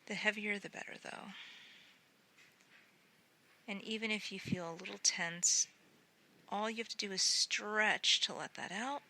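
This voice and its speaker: A woman guiding a sleep meditation in a gentle, soothing, unhurried way, often softening into breathy near-whispers. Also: medium pitch, steady tone, slight background noise. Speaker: meditation guide.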